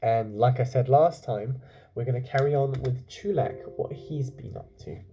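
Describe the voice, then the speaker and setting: A man working as a teacher, reading a children's book aloud and pausing to explain it and put questions to his young listeners. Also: mid-range voice, mellow, breathy edge. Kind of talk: storytelling with explanatory asides to children.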